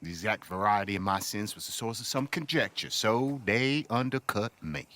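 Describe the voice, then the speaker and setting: An actor playing a wordy, wry travelling doctor in a video game, with florid, pompous phrasing. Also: male voice, fairly low pitch, moderate pace, a bit bright, lightly acted. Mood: wry, rueful. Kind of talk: video game cutscene acting.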